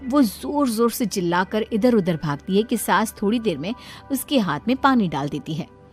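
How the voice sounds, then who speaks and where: A woman narrates a bedtime moral story in a steady, calm storytelling voice. Her pitch sits mid-range for a woman. Quiet music plays in the background. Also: normal speed.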